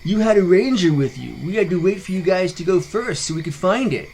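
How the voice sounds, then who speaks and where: A man's voice: sits high, lively intonation, brisk, darkish tone, slight background noise, pitched above the performer's own voice, rough recording. A man voicing a frustrated, whiny young fellow who argues indignantly. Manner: exclaiming, indignant.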